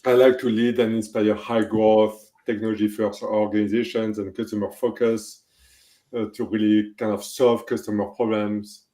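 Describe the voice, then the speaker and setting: A man in his forties from France with a French accent, answering interview questions in a relaxed, thoughtful way with many fillers. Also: on the low side, moderate pace. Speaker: guest, technology executive. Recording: roomy, echoey call audio.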